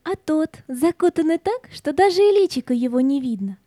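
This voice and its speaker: A sweet, curious little girl in an audio fairy tale. The voice is extremely high and soft-edged, in a dry recording. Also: lively intonation, moderate pace, no echo. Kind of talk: audio play acting.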